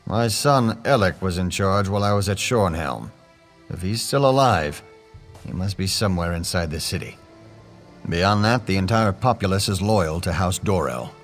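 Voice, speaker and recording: A man's voice: deep voice, lively intonation, normal speed, faint music behind. An actor playing a hot-tempered male nobleman who gives blunt, commanding orders.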